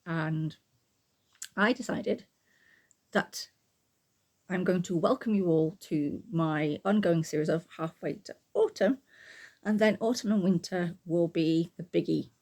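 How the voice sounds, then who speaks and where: A middle-aged woman, croaky from a frog in her throat, chatting to camera in a rambling way full of ums and throat clearing. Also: on the low side, measured pace, pauses often, a little mellow. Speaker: book and art YouTuber. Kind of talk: casual chat to camera.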